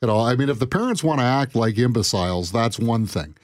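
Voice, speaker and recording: A man with a big booming voice, co-hosting a casual chat and giving firm, reasoned opinions. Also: animated, a little fast, dry recording, clean studio sound. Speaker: co-host.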